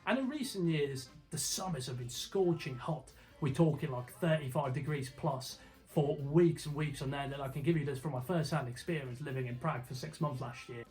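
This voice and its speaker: An emphatic man presents an informal explainer over background music. His voice sits fairly high for a man, and he talks colloquially, tossing questions at the viewer. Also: moderate pace, a little mellow, rough recording. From Slovakia. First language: Slovak. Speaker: video host. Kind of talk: informal explainer narration to camera.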